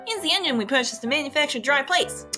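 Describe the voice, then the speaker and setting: A woman's voice: sits high, animated, fast, music in the background. A woman playing a genial, modest male inventor who talks proudly and a little hesitantly in a radio drama. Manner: hesitant, thoughtful, quietly proud. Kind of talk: scripted radio drama acting.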